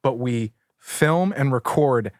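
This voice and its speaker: A man's voice: medium pitch, expressive, darkish tone, no echo. Rambling man in a dry studio. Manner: rambling, halting with many fillers. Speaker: podcast co-host. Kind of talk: casual chat. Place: studio room with table and mics.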